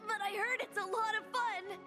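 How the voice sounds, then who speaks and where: A woman playing a bubbly, excitable young festival-goer with a gushing manner in scripted video game dialogue. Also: extremely high pitch, brisk. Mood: excited. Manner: gushing, exclamatory. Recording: prominent game music behind voice. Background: game background music.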